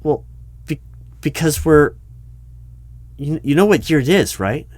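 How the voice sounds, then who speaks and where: A man playing a politely incredulous recruiting manager in an improvised audio roleplay, speaking aloud in a halting, stammering way and repeating words. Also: on the high side, lively intonation, no room sound. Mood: flustered, disbelieving. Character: flustered, politely incredulous male recruiting manager interviewing someone. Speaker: job interviewer. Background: computer keyboard typing.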